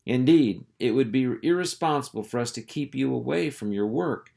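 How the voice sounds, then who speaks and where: Here is a genteel, long-suffering mother dog from a children's storybook, acted out by a man. She speaks in a raised, slightly gravelly voice with lively swoops. Her manner is fussy, sighing and excessively polite. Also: mid-range voice, normal speed, voice pushed up. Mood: anxiously polite.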